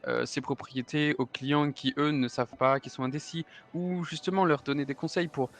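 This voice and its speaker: A man's voice: a little high, steady tone, moderate pace, a bit bright. A man in his thirties roleplaying a German real estate agent who loves parties, speaking with a German accent in a formal, hesitant way full of fillers and German interjections. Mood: chatty, courteous. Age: 30s. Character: 33-year-old German man, suave real estate agent and party lover.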